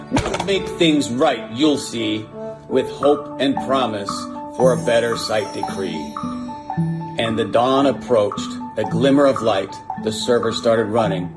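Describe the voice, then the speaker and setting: A man's voice: mid-range voice, a little slow. A man reciting a rhyming Christmas poem as a scripted message in a played video clip. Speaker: company executive. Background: loud guitar music.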